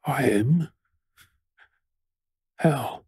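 Husky Australian actor as a frantic, doting boyfriend. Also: male voice, medium pitch, drawn-out words, a little mellow, no echo, clean studio sound, heavily acted. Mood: shaken, loving. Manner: stammering, emotional, affectionate. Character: frantic, tender, fussing boyfriend of a firefighter. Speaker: audio role-play voice actor. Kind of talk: intimate boyfriend role-play, ASMR-style acting.

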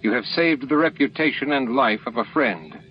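A man's voice: mid-range voice, normal speed, voice pushed up, close to an everyday voice. A man voicing an earnest, honorable male alien leader who speaks formally. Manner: formal, declarative. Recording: dull lo-fi audio, faint noise.

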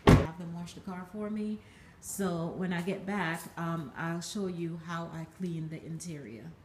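A woman's voice: lowish voice, heavy background noise. A woman in her thirties chatting casually into a phone held at arm's length inside her car, with plenty of ums and restarts. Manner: unhurried, chatty, many fillers and restarts. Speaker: vlogger. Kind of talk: casual vlog chat. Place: inside a car, then outdoors beside it.

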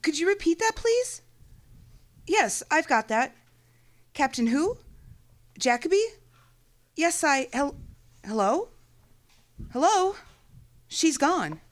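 An actress playing a loyal, anxious detective's secretary in a noir radio play, hesitant and pleading. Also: female voice, sits high, very animated, slow, pauses often, exaggerated voice. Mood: puzzled.

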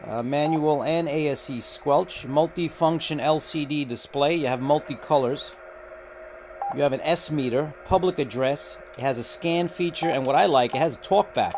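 A man walking through a radio unboxing, talking unhurriedly with frequent filler pauses, recorded indoors at a table on the camera's mic. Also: fairly high pitch, background noise. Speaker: radio reviewer. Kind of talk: unboxing walkthrough.

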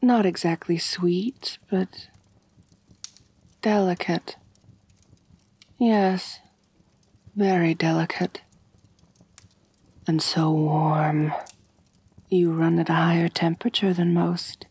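Actress as vampire mistress for ASMR roleplay: soft, breathy, unhurried, genteel and coaxing, doting yet in control. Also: female voice, on the low side, fairly even intonation, heavily acted. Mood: intimate, hungry. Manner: slow, coaxing, genteel, purring endearments. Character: elegant vampire mistress, doting, gently dominant, calculating noblewoman. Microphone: close, dry microphone.

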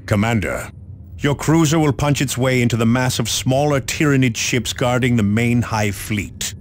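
An actor playing a grave, stoic space marine captain who gives formal, measured orders in a video game. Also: male voice, medium pitch, slight background noise, close to an everyday voice. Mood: solemn, resolute. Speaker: military commander. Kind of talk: video game mission briefing, dramatic acting.

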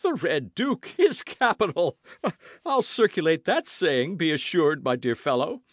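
A man voicing a boastful, loud musketeer in an audiobook, theatrical and full of exclamations. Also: on the high side, very animated, a little slow, no echo, studio quality, voice pushed up. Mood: gleeful, mocking. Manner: theatrical, exclamatory, swooping emphasis. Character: boisterous, boastful swaggering musketeer, loud and self-satisfied.

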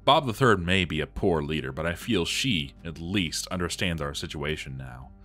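Brief: male performer as a doomed dwarf armorer, very low voice, grave and theatrical, journal drama over background music. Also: expressive, normal speed, fairly bright tone. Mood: bleak despair.

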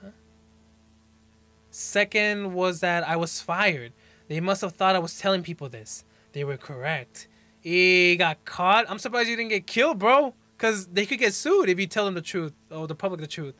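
A man's voice: high-pitched, animated, moderate pace, pushed and bright, no echo. A man in his twenties giving casual reaction commentary into a close desk mic, reading captions aloud with slangy, exclamatory asides. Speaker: reaction video host. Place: small bedroom, indoors. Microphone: desk microphone up close, headphones on.

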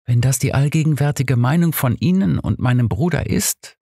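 A man voicing a proud, resigned woman in an audiobook, quiet and defiant in manner. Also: mid-range voice, expressive, moderate pace, gravelly, husky, dry recording, studio quality. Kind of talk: audiobook character reading.

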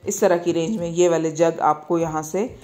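A woman's voice: on the low side, steady tone, moderate pace, darkish tone. A woman with a Pakistani accent narrating a shopping guide in a casual, conversational way, often checking in with the listener. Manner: conversational, repeatedly checks in with "okay". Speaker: market vlogger.

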